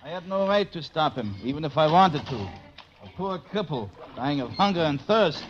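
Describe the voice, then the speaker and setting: An actor playing a cunning seaman, self-justifying and measured, in a radio drama. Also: a little high, lively intonation, close to an everyday voice. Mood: coldly reasoning. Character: cunning, smug German U-boat seaman. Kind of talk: classic radio drama acting. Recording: dull, noisy vintage radio recording.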